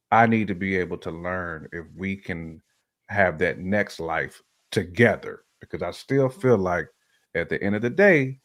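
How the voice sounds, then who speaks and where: An American man chatting casually about relationships as a show host, telling stories in animated, slangy language. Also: mid-range voice. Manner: animated storytelling with slang and hypothetical examples.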